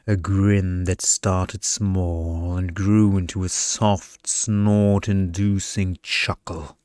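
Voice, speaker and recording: Deep, slow male ASMR storyteller. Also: darkish tone, smooth, dry recording, studio quality. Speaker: story narrator. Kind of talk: scripted ASMR story narration.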